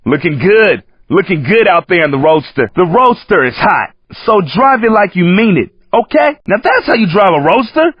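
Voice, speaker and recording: A cocky American street-racing hype man from a video game, slangy and teasing. His voice is high and husky with lively swings. The sound is dry, muffled game audio. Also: moderate pace, few pauses, dry recording, heavily acted. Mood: hyped, approving. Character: cocky, hip male San Francisco street-racing coach, joking and hyping. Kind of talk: video game character commentary.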